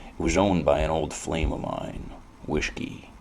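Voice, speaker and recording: Man acting a very deep gravelly noir detective. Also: measured pace, slight background noise. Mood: wry, sardonic. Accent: American. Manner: brooding, deadpan. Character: world-weary cynical hard-drinking male noir detective narrator. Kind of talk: scripted first-person hardboiled noir narration.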